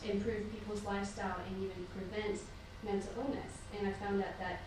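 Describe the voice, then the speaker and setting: A woman's voice: mid-range voice, flat delivery, a little fast, noisy background. A woman from southern Indiana giving an earnest public comment at a city council meeting, chatty, with fillers and small laughs. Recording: echoey room picked up from far off.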